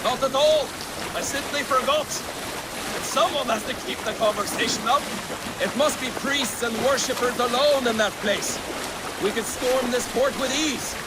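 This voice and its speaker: A man's voice: extremely high pitch, measured pace, very noisy. An actor playing a boastful young male Viking warrior, full of cocky, mocking banter, in a studio video game. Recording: game soundtrack music underneath.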